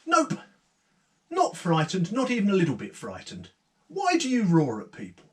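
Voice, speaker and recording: A man's voice: high voice, huge pitch swings, moderate pace, pitched above the performer's own voice. A man voicing a young woman traveller who is blunt, challenging and unimpressed. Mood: defiant.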